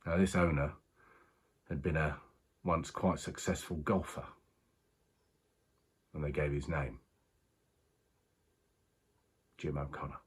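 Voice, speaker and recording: An actor playing a rueful working-class racing man, husky, confiding a strange story in a halting, colloquial monologue. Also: rumbling low, fairly even intonation, full character voice. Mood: sombre, hesitant. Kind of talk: dramatic monologue, conversational storytelling.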